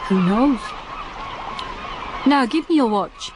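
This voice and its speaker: A woman's voice: a little high, expressive, slow pace, background noise. An actress playing a young Japanese island diving woman in a radio drama, earnest and practical, with careful, formal phrasing. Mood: grave, earnest.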